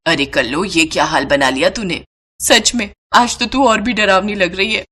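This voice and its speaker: A woman's voice: mid-range voice, normal speed, bright, forceful tone, husky. A woman voicing a haughty, spoiled young daughter-in-law who speaks in a mocking, self-important way in a family story.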